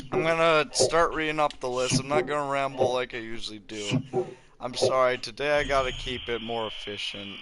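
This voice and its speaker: A teenage boy hosting a gaming channel, rambling and joking over his gameplay with loud sudden reactions and self-aware asides. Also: mid-range voice, low-quality recording. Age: teens. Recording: clear background noise.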